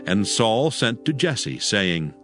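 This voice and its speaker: A male narrator reads scripture dramatically in a fairly low voice. His intonation is lively and varied, with music behind him. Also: slow pace.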